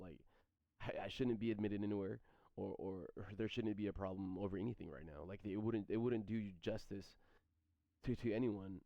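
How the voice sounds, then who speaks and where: A man in his thirties, streaming and rambling through questions from chat, halting and repeating his words as he goes. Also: fairly low pitch, gravelly, warm tone, no room sound. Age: 30s. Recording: dry, slightly dull stream mic audio.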